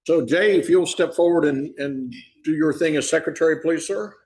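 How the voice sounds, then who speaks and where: A man's voice: a little high, normal speed, darkish tone. Joking man leading a meeting. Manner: joking, easygoing. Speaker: club president leading the meeting. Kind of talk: casual meeting emcee. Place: meeting room. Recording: echoey meeting room.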